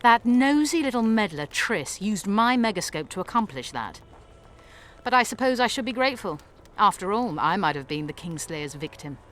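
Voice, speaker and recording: A woman voicing a self-assured, imperious court sorceress in a video game, crisp and scornful in manner. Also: mid-range voice, sing-song, moderate pace, a bit bright, slight background noise. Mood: dismissive. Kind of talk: video game dialogue acting. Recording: music behind the voice.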